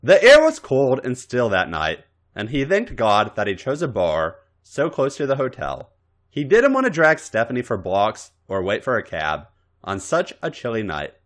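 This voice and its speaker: A man reads fiction aloud as a podcast narrator. His delivery is dramatic and comic, with extremely animated, wide-ranging intonation. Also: mid-range voice, moderate pace. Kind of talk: dramatic comic reading of erotic fan fiction.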